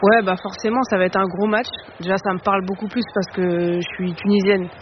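A woman on a football show panel, talking in relaxed casual chat with many fillers. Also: medium pitch, brisk. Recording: muffled stream with faint noise.

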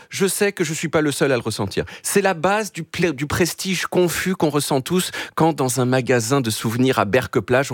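A man's voice: medium pitch, no echo. A man in his forties delivering a wry, self-mocking humorous column on the radio with a storytelling manner. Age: 40s. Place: radio studio. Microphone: close studio mic. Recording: dry studio sound.

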